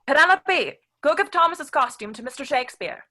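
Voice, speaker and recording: A woman's voice: high voice, animated, a little fast, pushed and bright. A woman playing a strict, bossy middle-aged mother and costumer, scolding in an audio play.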